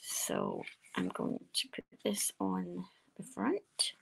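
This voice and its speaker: A woman with a quiet voice, chatting and explaining each step as she demonstrates card making at her craft desk. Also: fairly low pitch, huge pitch swings, slow, vocal fry, whispered, low-quality recording. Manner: chatty, explaining steps while working.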